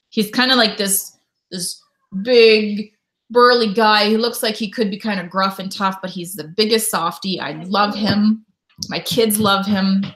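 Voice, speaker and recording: A woman in her thirties, from Canada, streaming live from her home. She chats easily and reads viewers' comments aloud, then answers them, with a voice in a middle pitch range. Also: fairly bright tone. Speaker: YouTube host. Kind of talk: casual live stream chat.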